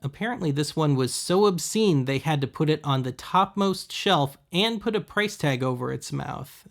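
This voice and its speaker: Male host, somewhat higher-pitched with plenty of vocal fry, sardonic, casual voice-over commentary. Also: expressive, normal speed. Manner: wry, sarcastic. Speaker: host of a thrift-shopping video series.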